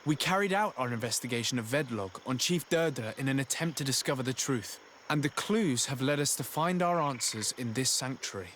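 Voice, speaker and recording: An actor playing a polite, earnest young envoy in a fantasy video game, with a measured, questioning manner. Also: male voice, medium pitch, animated, fairly bright tone, slight background noise, lightly acted. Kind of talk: video game character acting.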